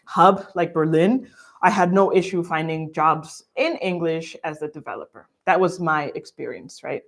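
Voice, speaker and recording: A woman's voice: deep voice, moderate pace. A woman from Guatemala co-hosting a livestream, reading out viewer questions in a bubbly, laugh-filled way. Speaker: co-host.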